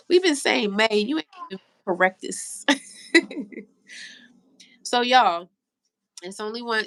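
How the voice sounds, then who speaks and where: A woman hosting a live stream with a stuffy, congested voice. Her tone has a gravelly, fry-edged texture. She chats loosely and talks straight to her viewers, reading their comments as they come in. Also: mid-range voice, fairly bright tone, dry recording. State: stuffy nose. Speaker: livestream host. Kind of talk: casual live stream chat.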